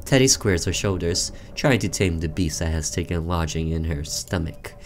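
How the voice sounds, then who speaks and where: A man's voice: low-pitched, moderate pace, slight background noise. A man doing let's play commentary, reading a visual novel aloud theatrically and breaking off for offhand asides.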